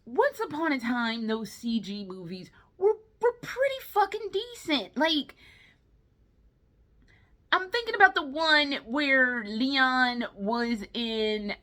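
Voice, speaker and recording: A woman's voice: high voice, lively intonation, takes it slowly, fairly bright tone. A woman giving casual, chatty commentary in a blunt, exasperated way, with plenty of slang and swearing. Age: adult, no longer young. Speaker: vlogger reviewing films.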